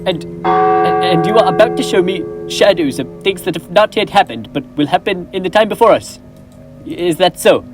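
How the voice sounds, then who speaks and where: A man's voice: sits high, animated, moderate pace. A young man playing an old miser in a school stage play, talking earnestly and imploring, with music behind. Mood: fearful. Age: teens. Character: old male miser Scrooge, fearful and pleading. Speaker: stage actor.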